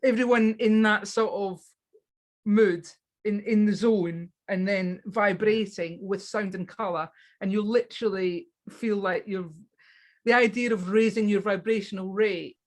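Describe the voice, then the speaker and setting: A woman's voice: medium pitch, fairly even intonation, unhurried. A woman guest in a casual interview, talking with enthusiasm and often restarting her sentences. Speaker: guest on spiritual practice.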